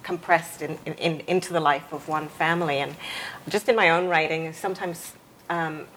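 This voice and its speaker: A woman's voice: low voice, fairly even intonation, moderate pace, a bit bright, a little hiss or hum, rough recording. A woman from the West Indies interviewing a writer in conversation, building long, tentative questions with a self-deprecating manner. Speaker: interviewer, fellow novelist.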